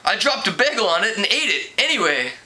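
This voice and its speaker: A man's voice: sits high, sing-song, brisk, pushed and bright, pitched above the performer's own voice, full character voice. A man voicing a harried, overworked newspaper reporter in a storybook, informal and grumbling. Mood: casual, friendly.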